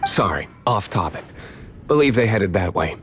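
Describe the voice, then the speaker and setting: A man's voice: fairly low pitch, lively intonation, normal speed, a bit bright. A man voicing a cool, guarded young tavern owner in an anime video game, dry, terse and composed. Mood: feigned calm. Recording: faint music behind.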